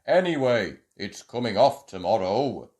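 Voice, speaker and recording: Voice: medium pitch, sing-song, a little slow, darkish tone, voice pushed down. A storyteller voicing a cheerful, good-natured young animal patient from a children's book, with emphatic delivery.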